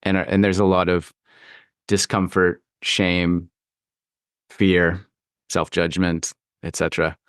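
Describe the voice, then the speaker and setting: A man's voice: low-pitched, little pitch movement, takes it slowly, pauses often, smooth, no echo, clean studio sound. A man interviewing a guest in a reflective, conversational style, with thoughtful and articulate phrasing. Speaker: podcast interviewer.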